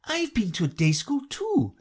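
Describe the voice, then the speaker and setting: A man plays a prim young storybook girl in a high, lightly husky voice. She is polite and curious, hesitant at times and quick to take offence. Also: huge pitch swings, darkish tone, exaggerated voice. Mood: indignant. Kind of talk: storybook character voice.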